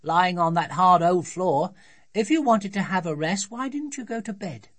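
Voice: mid-range voice, expressive, moderate pace, voice pushed up, lightly acted. An adult storybook reader voicing a blunt, defiant, bossy little girl. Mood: curious, concerned. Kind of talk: children's storybook reading.

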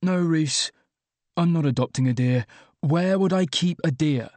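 A man's voice: fairly high pitch, measured pace, darkish tone, no room sound, voice pushed up, natural voice. A man voicing an exasperated, sardonic young man, the hapless hero of an audiobook, wry and emphatic. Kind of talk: audiobook character dialogue.

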